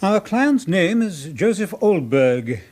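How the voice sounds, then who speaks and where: Officious, higher-pitched bank vault manager, echoey. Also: male voice, sing-song, slow, a little mellow, full character voice. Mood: formal, guarded. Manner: formal, officious, precise. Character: formal, guarded male safe-deposit company manager. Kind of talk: radio crime drama dialogue. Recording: echoey room with background noise.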